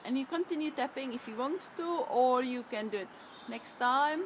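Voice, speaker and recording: A woman speaks in a soft, soothing and encouraging way as she guides a tapping session. Her voice sits a little high. A faint hiss runs under the recording. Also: normal speed. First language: Czech. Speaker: EFT tapping practitioner.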